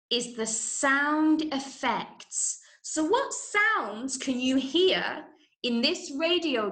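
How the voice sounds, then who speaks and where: A woman from Manchester with an English accent, teaching an online drama lesson to children in an encouraging, clearly explained way. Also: fairly high pitch, fairly bright tone. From Manchester, England. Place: indoor room, online call. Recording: echoey room.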